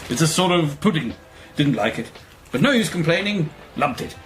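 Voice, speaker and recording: An actor plays a genial, easily pleased British boss in a radio comedy. His fairly high voice swoops as he rambles and hesitates, with music clearly behind him. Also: animated, moderate pace, close to an everyday voice. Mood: rambling, genial. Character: cheerful, woolly, easily pleased male magazine boss. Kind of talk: radio comedy drama acting.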